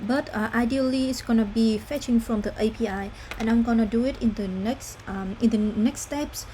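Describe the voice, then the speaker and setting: A young woman from Vietnam, walking through a demo of her student app in a careful, halting way with many fillers. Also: on the high side, warm tone, a little hiss or hum. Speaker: student intern presenting an app. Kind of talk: screen-recorded app demo walkthrough.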